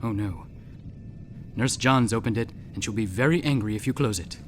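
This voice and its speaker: A man's voice: on the low side, moderate pace, slight background noise, natural voice. A man playing a pompous deposed alien prince in a sci-fi comedy audio drama, speaking with formal, theatrical grandeur. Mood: matter-of-fact.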